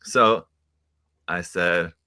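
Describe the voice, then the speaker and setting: A man hosting a casual live stream chat, relaxed and laughing often, with many fillers. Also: low voice, very slow, no echo, clean studio sound.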